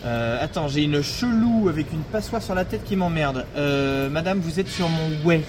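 A man's voice: on the high side, expressive, normal speed, lightly acted. An actor playing a curt, self-important young bank trader who peppers his talk with English business buzzwords in a comic audio fiction. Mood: brisk, irritated. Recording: clear background noise of traffic.

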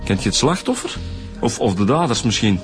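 A man's voice: fairly low pitch, big pitch swings, a little fast, soft background music. A man playing an earnest, probing police inspector in a Flemish radio drama, polite and questioning. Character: earnest, probing male police inspector, eager junior partner.

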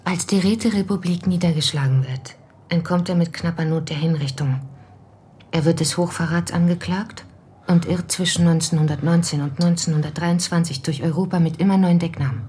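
An actress playing a determined, insistent documentary researcher in a German radio drama, speaking precisely. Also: female voice, on the low side, brisk, dark tone, a little hiss or hum, lightly acted. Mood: earnest, factual.

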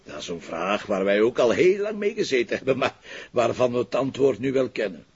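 An actor playing a gruff, sardonic Flemish police commissioner in a television drama, with a gravelly, commanding delivery. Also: male voice, mid-range voice, very animated, fairly quick, a little mellow, full character voice. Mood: accusing, sardonic. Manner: sarcastic, commanding, swearing. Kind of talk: naturalistic television drama acting. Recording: dull, lo-fi TV audio.

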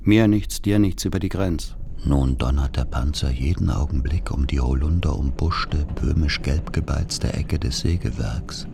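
A man from the Upper Palatinate in Bavaria narrating a literary radio play in a deliberate, dramatic, poetic declamation. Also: rumbling low, vocal fry, background noise. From northern Upper Palatinate, Bavaria. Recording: music behind the voice. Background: music.

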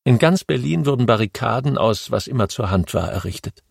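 A man narrating a history audiobook in a measured, steady storytelling manner. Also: medium pitch, vocal fry, no echo, studio quality.

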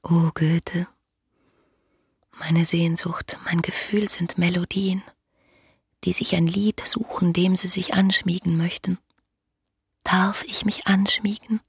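Breathy, lovestruck young woman. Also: fairly low pitch, level tone, takes it slowly, pauses often, heavily acted. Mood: yearning. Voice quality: breathy, often near whispering. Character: ardent, impulsive young woman in love, writing letters. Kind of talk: German radio play letter narration.

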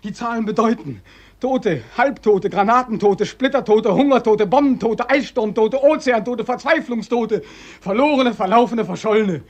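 An actor playing a bitter, exhausted young man back from war in a radio drama, anguished and rhetorical, with a breathy, strained voice. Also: extremely high pitch, moderate pace, no room sound. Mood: bitter, frantic anguish. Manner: anguished, rhetorical, repeating himself. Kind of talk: German radio drama acting.

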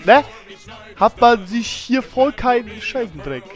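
A man voicing a crude, grumbling loudmouth who whines in slang in an amateur parody audio play. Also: very high voice, sing-song, unhurried, soft background music, heavily acted. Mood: whiny grumbling. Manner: slangy, whining complaint.

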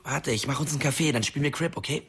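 A man's voice: medium pitch, rapid-fire, fairly bright tone, breathy edge. An actor playing a frustrated, bitter, restless young man in a radio drama. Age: young adult.

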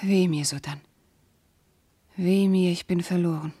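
A woman's voice: fairly low pitch, steady tone, measured pace, dark tone, breathy edge, dry recording. A woman playing a young, noble princess, anguished and bewildered, in solemn, fervent German classical verse radio drama. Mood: despairing.

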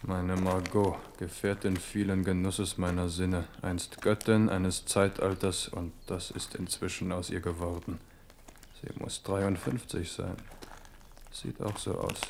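An actor playing an ageing amorous French king in a German radio play, wry and wistful, with a measured, musing way of talking. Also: low-pitched, little pitch movement, darkish tone, a little hiss or hum. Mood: musing aside.

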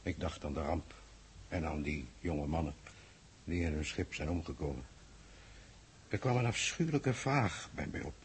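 A man's voice: extremely low pitch, normal speed, long pauses, a little hiss or hum. An actor playing a troubled male official in a 1960s Dutch radio drama, hesitant and pensive as he questions experts.

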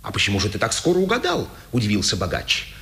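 Defiant prisoner, lively intonation. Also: male voice, on the low side, exaggerated voice. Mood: ironic, defiant. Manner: dry irony, deliberate storytelling. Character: defiant, ironic male Communist writer held prisoner. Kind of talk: 1950s Soviet radio drama acting.

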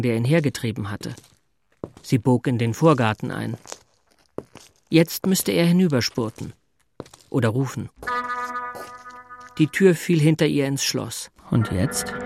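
An actor playing a hesitant, lovestruck single man in a radio play, brooding and tender with a gravelly creak. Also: medium pitch, measured pace, long pauses, a little mellow. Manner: hesitant, brooding, short self-questioning phrases. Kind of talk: radio play narration and inner monologue. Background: music.

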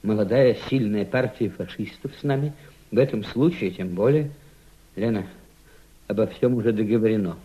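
Man acting bitter scheming Russian émigré, dark mellow timbre, theatrical insistent delivery, radio play, dull lo-fi recording. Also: fairly low pitch, normal speed, natural voice. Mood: insistent, conspiratorial. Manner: theatrical, insistent, emphatic repetition.

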